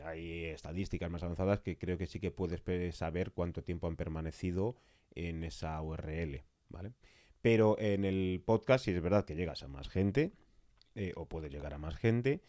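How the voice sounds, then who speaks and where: Deep-voiced Spanish podcast host, dry recording. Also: male voice, warm tone, dry recording. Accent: Spanish (Spain). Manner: didactic, checks in with short tag questions. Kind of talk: conversational explanation.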